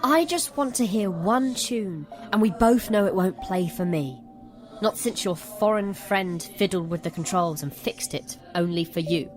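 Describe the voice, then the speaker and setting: A woman's voice: mid-range voice, unhurried, darkish tone, faint music behind, natural voice. Audio drama little brother woman acting: sly, smug, wheedling boy who loves to needle.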